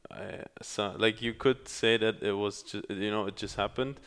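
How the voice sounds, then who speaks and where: A man from Germany hosting a podcast, speaking in a halting way with many pauses and restarts. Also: on the low side, steady tone, gravelly, fairly bright tone. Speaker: podcast host. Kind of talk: casual podcast chat.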